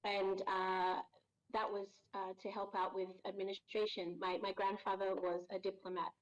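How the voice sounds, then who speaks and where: A woman being interviewed as a voice actor, chatty and quick to laugh, with lots of ums. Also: mid-range voice, level tone, dull audio. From Australia. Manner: warm, chatty, laughs easily, many ums. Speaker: guest voice actor. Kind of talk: casual interview chat.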